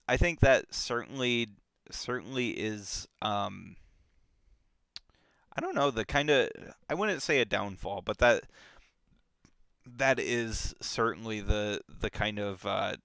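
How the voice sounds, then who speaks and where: A man hosting a casual book discussion, animated and full of fillers and restarts. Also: fairly low pitch, takes it slowly, creaky, clean tone, no room sound, clean studio sound. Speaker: book club podcast host.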